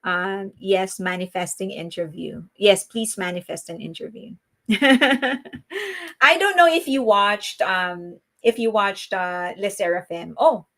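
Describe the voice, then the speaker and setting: A woman's voice: mid-range voice, slow, a bit bright. A woman with a Filipino accent hosting a live stream, chatting casually with her viewers, laughing often and restarting her sentences. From Philippines. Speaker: livestream host.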